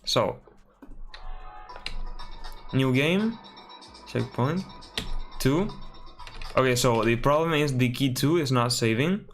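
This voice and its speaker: A man from Spain with a Spanish accent, thinking aloud while building a game on a live stream and often correcting himself with a quick sorry. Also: medium pitch, animated, faint music behind. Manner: mutters through steps, apologizes and corrects himself often.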